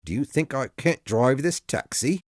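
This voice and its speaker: A man reading a story aloud voices a rough old cab driver, gruff and blunt. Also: medium pitch, lively intonation, normal speed, dry recording, studio quality, voice pushed up, natural voice. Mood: defensive.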